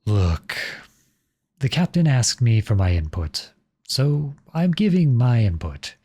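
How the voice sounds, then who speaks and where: A man playing an outlawed young prince serving as a lowly soldier in a roleplay audio drama, speaking in a formal, measured, courteous and earnest way. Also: sing-song, mellow, slightly rough, heavily acted. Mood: firm, restrained.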